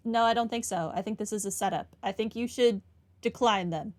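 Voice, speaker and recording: A woman's voice: medium pitch, brisk, darkish tone. A woman giving casual, chatty, informal commentary as she plays through a video game. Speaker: gaming video host.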